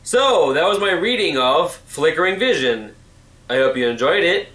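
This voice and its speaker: A man's voice: a little high, lively intonation, normal speed, a bit bright, roomy sound. A man giving a scripted sign-off to viewers as the reader of a story. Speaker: fan fiction reader, video creator.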